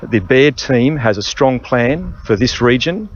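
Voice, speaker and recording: A man's voice: mid-range voice, lively intonation, unhurried. A man making a political announcement speech, recorded on location. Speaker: politician, government minister. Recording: muffled press clip, background noise.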